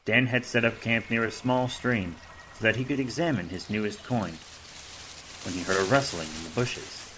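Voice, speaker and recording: A man's voice: on the low side, moderate pace, bright, forceful tone, slight background noise, poor audio. A man narrating an action audio drama in a dramatic, scripted storytelling style.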